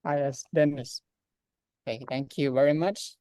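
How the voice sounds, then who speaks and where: A man's voice: mid-range voice, fairly even intonation, dark tone, no echo, clean studio sound. Hesitant man. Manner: halting, many fillers. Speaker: class organizer. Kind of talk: hesitant spoken introduction in English.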